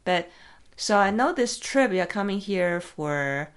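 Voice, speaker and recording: A woman hosting a podcast from her office, curious and quick with follow-up questions. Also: medium pitch, expressive, clean tone. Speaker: podcast host. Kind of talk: casual interview chat.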